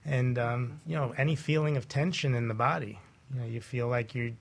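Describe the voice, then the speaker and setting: A man speaking as a chiropractor guest on a talk show, explaining in a measured, halting conversational way. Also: medium pitch, vocal fry.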